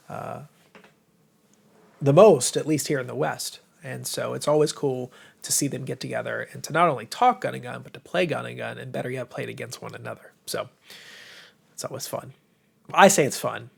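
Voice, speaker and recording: Gravelly American man hosting, voice giving out. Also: medium pitch, moderate pace. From United States. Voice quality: tired, strained voice. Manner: enthusiastic, informal, rambling. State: voice going, slightly hoarse. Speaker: livestream host, game publisher. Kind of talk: casual livestream chat to viewers.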